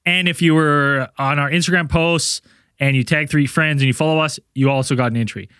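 A man's voice: a little high, steady tone, brisk, a bit bright, smooth, no echo, studio quality. A man co-hosting a podcast, talking in a loose, rambling way and laughing often while he announces giveaways and tells stories. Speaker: podcast co-host. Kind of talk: casual chat.

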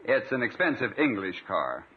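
A man's voice: lowish voice, sing-song, normal speed. An actor playing a chummy, self-assured, presumptuous man in a 1950s radio comedy, polite and glib. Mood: breezy, chummy. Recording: tinny 1950s radio recording, faint hiss.

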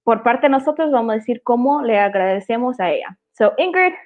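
A woman's voice: a little high, lively intonation, fairly quick, darkish tone. A woman hosting a casual interview, mixing English words into her Spanish. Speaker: host interviewing a guest. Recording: tinny, phone-like call audio.

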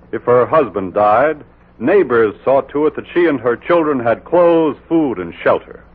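Male radio announcer, earnest and formal, husky, very expressive pitch, 1940s broadcast style. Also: narrow, tinny audio. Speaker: announcer and sponsor spokesman. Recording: thin, band-limited 1940s radio recording.

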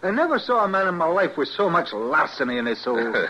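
A man's voice: a little high, sing-song, a little fast, bright, forceful tone. A man playing a sly, wry frontier storekeeper, chatty and folksy, in an old-time radio western. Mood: sly, pleased. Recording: muffled, hissy old radio broadcast.

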